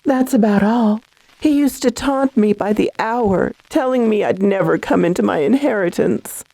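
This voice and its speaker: A woman playing a frightened, dazed young heiress in a radio horror drama, with a halting, earnest way of talking. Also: medium pitch, mellow, dry recording, close to an everyday voice, poor audio. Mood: subdued, dazed.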